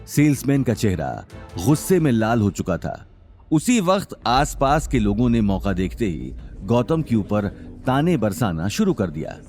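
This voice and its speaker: A man's voice: fairly low pitch, big pitch swings, measured pace, a little mellow, faint music behind. A man narrating a scripted audio drama in a dramatic storytelling style.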